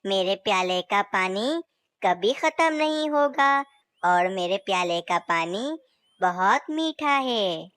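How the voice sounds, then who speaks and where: A woman's voice: medium pitch, animated, slow, a bit bright, smooth, no room sound. A woman voicing a magical talking water cup that coaxes birds to drink. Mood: inviting. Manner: inviting, coaxing.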